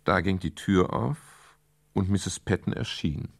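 A man's voice: deep voice, unhurried, creaky, noisy background. An actor playing a sardonic private detective who narrates his case in a dry, laconic, brusque way in a German radio crime drama. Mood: laconic, brooding.

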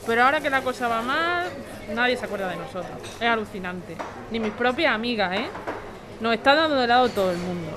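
A woman's voice: a little high, expressive, a little fast, close to an everyday voice. A woman acting an indignant, snobbish, overqualified jobseeker of thirty-five in an audio drama. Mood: aggrieved. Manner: indignant, complaining. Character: indignant, snobbish 35-year-old overqualified woman seeking work. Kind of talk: audio fiction podcast acting. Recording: busy bar chatter behind.

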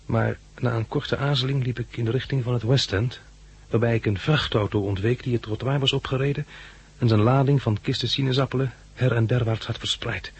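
An actor playing a shaken but helpful hospital patient in a 1960s radio drama, hesitant and urgent. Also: male voice, mid-range voice, fairly even intonation, a little fast, breathy edge. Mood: grave narrating. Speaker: lead actor and narrator. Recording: 1969 radio recording, faint noise.

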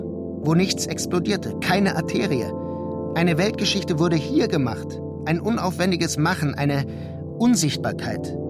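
Higher-voiced male literary narrator over music. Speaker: narrator of a literary radio play. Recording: prominent music under the voice.

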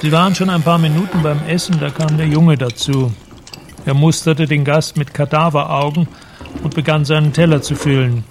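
A man giving measured, precise literary narration for a radio drama, with a creaky voice. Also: fairly high pitch, fairly even intonation, slight background noise.

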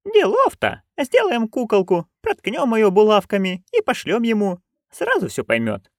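A storyteller voicing a chatty, coarse, bawdy old witch woman who rambles loudly. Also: medium pitch, huge pitch swings, moderate pace, no room sound, clean studio sound, pitched above the performer's own voice. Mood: gossipy.

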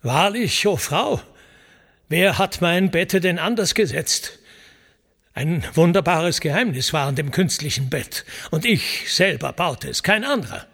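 A man's voice: fairly high pitch, expressive, measured pace, creaky, fairly bright tone, husky, heavily acted. A man voicing a proud, stern hero king of antiquity in a solemn, declamatory epic recitation. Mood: indignant, proud. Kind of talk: dramatic epic verse recitation.

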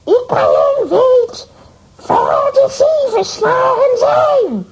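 A woman voicing a sly, flattering tiny witch in a children's audio drama, with slow, theatrical wheedling and a shrill, creaky voice. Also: full character voice, low-quality recording. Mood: sly, storytelling. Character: sly flattering tiny old witch who shapeshifts into a fly.

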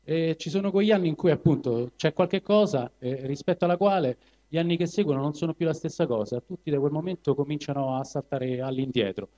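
A man with a Roman Italian accent talking colloquially to a live audience at a poetry reading, halting and digressing as he goes. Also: a little high, dark tone. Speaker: poetry reader. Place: live evening event in a cinema venue. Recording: dull lo-fi live recording.

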